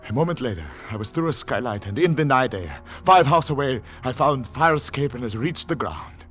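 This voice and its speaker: An actor playing a haunted secret agent on the run, narrating in dramatic, deliberate, clipped phrases in 1940s radio thriller style. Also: male voice, mid-range voice, lively intonation, a bit bright, faint music behind, close to an everyday voice. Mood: urgent, controlled. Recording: noisy 1940s radio recording with clicks.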